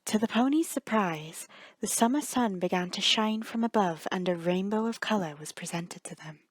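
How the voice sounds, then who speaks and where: A woman reading a scripted story as narrator, measured and dramatic, with deliberate pauses. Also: mid-range voice, expressive, dry recording.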